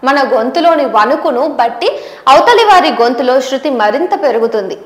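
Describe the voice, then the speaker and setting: Female news presenter, high voice, scripted explainer delivery, echoing room. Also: expressive, normal speed. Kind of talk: scripted explanatory narration to viewers. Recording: roomy, echoing voice recording.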